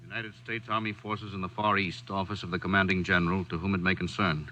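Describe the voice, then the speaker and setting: An actor playing a stern, resolute commanding general in a 1940s radio drama, formal and measured in speech. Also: male voice, sits low, flat delivery, pushed and bright, dull audio. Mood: official.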